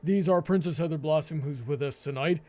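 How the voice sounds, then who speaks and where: Male host, high voice, mellow tone, live panel discussion, halting stammered delivery.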